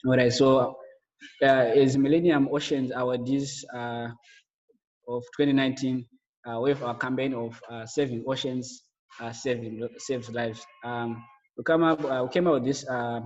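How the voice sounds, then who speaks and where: A young man walks through his slides on a video call, hesitant and full of filler sounds. His voice stays flat and level. The audio is dull and lo-fi, with a roomy echo. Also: mid-range voice, moderate pace. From Zimbabwe. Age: young adult. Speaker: project co-founder presenting. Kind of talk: webinar slide presentation. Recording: echoey, dull lo-fi webinar audio.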